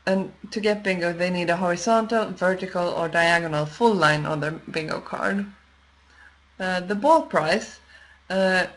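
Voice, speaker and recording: A woman narrating an unscripted step-by-step screen tutorial, explaining in a measured way with pauses as she works. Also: lowish voice, poor audio. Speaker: software tutorial presenter.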